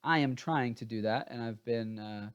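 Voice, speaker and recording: A man's voice: medium pitch, moderate pace, clear, resonant tone, lo-fi sound. A man chatting casually as he hosts a book review, informal and a bit scattered and hesitant, sounding tired.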